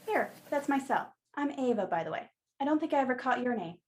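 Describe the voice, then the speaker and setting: High-voiced, friendly florist played by a woman. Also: expressive, a little fast, slight background noise. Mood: lightly flirtatious. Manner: casual, wry, sympathetic. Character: friendly, flirtatious woman florist running family shop. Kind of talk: radio play acting.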